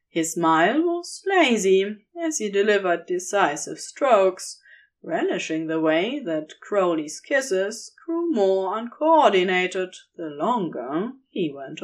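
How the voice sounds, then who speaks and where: A woman reading scripted fiction as a narrator. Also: mid-range voice.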